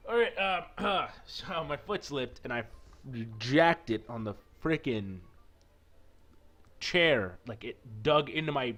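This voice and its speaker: A man's voice: on the high side, lively intonation, takes it slowly, fairly bright tone. A man narrating a visual novel playthrough, with an informal, self-correcting manner and casual asides. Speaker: let's play narrator.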